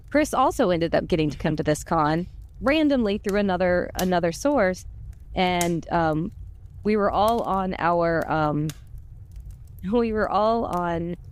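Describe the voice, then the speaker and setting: Middle-aged woman, slightly low voice, exuberant casual storyteller, some noise behind her. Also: darkish tone. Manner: exuberant, chatty, self-deprecating. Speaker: audio drama voice actor and podcast cast member.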